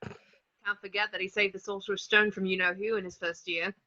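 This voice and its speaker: A man's voice: high-pitched, flat delivery, a little fast, sharp tone. A man playing a loyal, outspoken teenage schoolboy in an amateur table read, over a dull lo-fi microphone. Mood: supportive.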